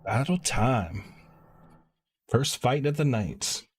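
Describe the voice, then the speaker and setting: A man live-streaming a video game, reading quest dialogue aloud in a halting way and stumbling over words. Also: medium pitch, lively intonation, slight background noise.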